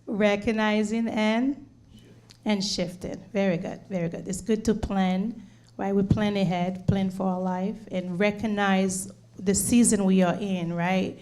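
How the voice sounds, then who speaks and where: A woman's voice: medium pitch, fairly even intonation, unhurried, warm tone. A middle-aged woman from Haiti with a Haitian accent, a nurse giving an earnest community presentation with many "um"s and repeated words. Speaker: nurse and home care leader.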